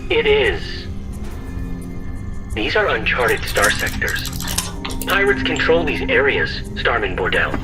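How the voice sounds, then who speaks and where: Sci-fi audio drama: cautious robot first officer, formal and reproving, medium pitch, music underneath. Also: male voice, sing-song, takes it slowly, pauses often. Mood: stern, reproving. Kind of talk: science fiction audio drama acting.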